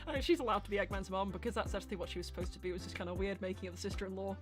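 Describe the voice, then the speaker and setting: A woman's voice: medium pitch, rapid-fire, faint music behind. A woman chatting casually and animatedly as a show host, reading out audience questions and thinking through her answers aloud. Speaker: host and voice actor of a live character Q&A show.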